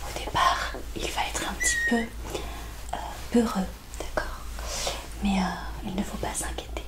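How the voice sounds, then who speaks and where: Whispering woman, slow ASMR veterinarian roleplay. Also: mid-range voice, fairly even intonation. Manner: gentle, reassuring, hesitant pauses.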